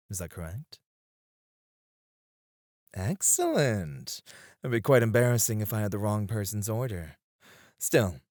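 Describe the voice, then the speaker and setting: A suave male escort acted by a man speaks in a low, very close and dry voice. His delivery swings expressively as he teases and flatters one listener in an ASMR roleplay, courteous and unhurried in his phrasing. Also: no echo, natural voice. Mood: smooth, businesslike teasing. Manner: teasing, courteous, drawn-out phrasing with soft chuckles. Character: suave, flirtatious male club escort, smooth-mannered and teasing.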